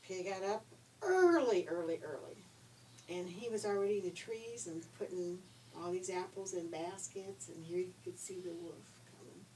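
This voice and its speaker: A woman's voice: on the low side, moderate pace, mellow. Older woman, children's storyteller, folksy, conversational, distant microphone, faint background noise. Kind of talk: informal storytelling.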